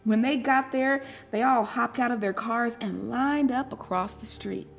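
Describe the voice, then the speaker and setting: A woman narrates a children's story with a deliberate, expressive delivery. Her voice sits somewhat high and she takes her time over each phrase, giving it a dramatic shape. Soft music plays faintly alongside her. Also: creaky. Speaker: storybook reader. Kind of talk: scripted children's story read aloud.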